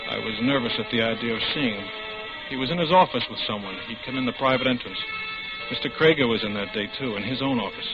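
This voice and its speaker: A man's voice: medium pitch, fast talker, over music, close to an everyday voice. An actor playing a bitter young ex-convict telling his own story as narrator. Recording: lo-fi old radio drama.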